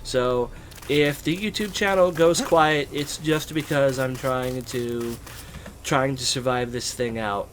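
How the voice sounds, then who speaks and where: A man's voice: mid-range voice, slow, slight background noise. Congested American man commentating a game. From United States. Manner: weary, rambling, many ums. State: stuffy, congested. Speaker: let's play host. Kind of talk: casual gameplay commentary.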